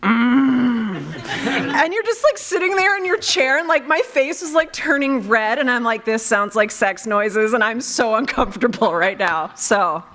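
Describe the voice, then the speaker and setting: A woman's voice: on the high side, animated, measured pace, a bit bright, a little hiss or hum. A woman moderating a live convention panel in a casual, chatty style. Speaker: panel moderator, audio drama creator.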